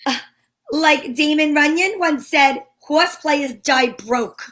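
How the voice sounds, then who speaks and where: A woman's voice: high voice, takes it slowly, fairly bright tone. A woman playing a breezy female private investigator in an amateur reading of an old-time radio play.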